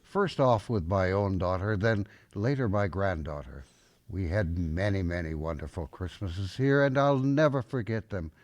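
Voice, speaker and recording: An actor playing a grumpy, soft-hearted old grandfather in a radio drama, hesitant and folksy. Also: deep voice, moderate pace, close to an everyday voice. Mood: wistful. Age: older.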